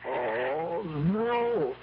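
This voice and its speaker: Animated, halting husband, tinny radio sound. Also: male voice, a little high, exaggerated voice. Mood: horrified, pleading. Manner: halting, repeats words urgently. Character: emotional, desperate husband of an ailing wife. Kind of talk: radio drama acting. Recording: tinny, noisy old radio broadcast, hum.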